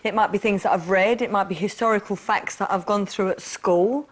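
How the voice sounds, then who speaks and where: A woman answers interview questions in an earnest, reflective way. Her voice sits at an ordinary middle pitch. She circles back and repeats herself as she thinks aloud. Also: fairly even intonation, a little fast. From East London. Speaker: interview guest. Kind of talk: candid personal interview.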